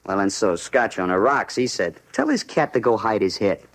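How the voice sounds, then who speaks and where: An American actor playing a talkative, eager bar owner full of street slang in a 1950s radio crime drama. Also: male voice, lowish voice, animated, normal speed, a bit bright. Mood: worked up.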